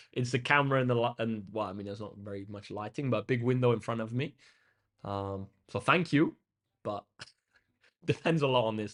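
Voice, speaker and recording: Laid-back young man chatting. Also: lowish voice. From England. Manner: relaxed and chatty, with frequent ums and uhs. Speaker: maths tutor hosting a livestream. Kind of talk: casual chat answering live comments. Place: indoor room facing a big window.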